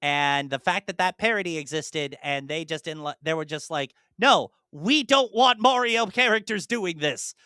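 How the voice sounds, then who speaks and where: A man's voice: fairly high pitch, normal speed, hardly any pauses, sharp tone, smooth, no echo, studio quality. A young man co-hosting a podcast, talking in an enthusiastic, rambling way with frequent restarts. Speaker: podcast co-host. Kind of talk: casual chat.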